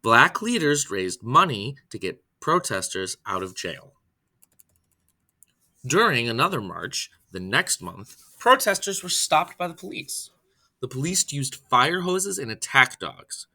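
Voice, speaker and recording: Bright, expressive man reading aloud. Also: medium pitch, unhurried. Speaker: reader, storyteller. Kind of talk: scripted read-aloud of a children's biography.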